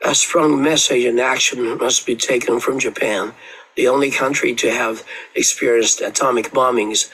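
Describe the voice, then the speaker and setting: A man reading out a prepared statement in a steady, formal way. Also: mid-range voice, breathy edge, low-quality recording. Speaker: reader of a message.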